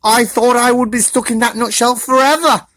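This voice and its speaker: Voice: medium pitch, fairly even intonation, normal speed, fairly bright tone, no room sound, pitched above the performer's own voice, lightly acted. A reader voicing a tiny, cheerful, chirpy mole in a children's storybook.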